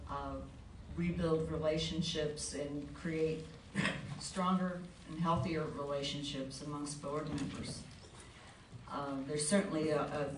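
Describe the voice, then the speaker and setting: A woman giving an unscripted, halting and measured answer as a candidate at a public forum. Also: deep voice, darkish tone. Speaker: school board candidate. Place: large meeting board room. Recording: roomy distant mic, faint noise.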